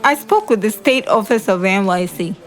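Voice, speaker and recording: Actress as lively young woman, expressive. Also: medium pitch, normal speed, close to an everyday voice. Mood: pleased. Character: young woman sharing news with a close friend. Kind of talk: audio drama dialogue. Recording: faint music behind the voice.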